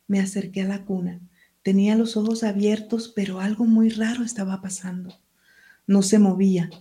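A woman's voice: medium pitch, mellow. A woman, a writer and teacher, who speaks Spanish as her first language, answering interview questions in a hesitant, searching way and reading from her book.

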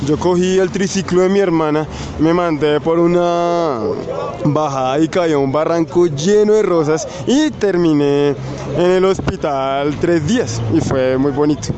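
Lively man, crowd behind. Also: a little high, measured pace, highs cut off. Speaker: interviewee. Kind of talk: street vox pop.

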